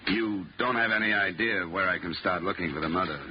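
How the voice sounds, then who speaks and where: An actor playing a wry, dogged insurance investigator in 1950s American radio style, speaking in a clipped, dry, hardboiled way. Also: male voice, deep voice, a little fast, noisy background, natural voice. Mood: dry, businesslike. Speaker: lead actor and narrator of a radio detective show. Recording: muffled vintage radio recording, music.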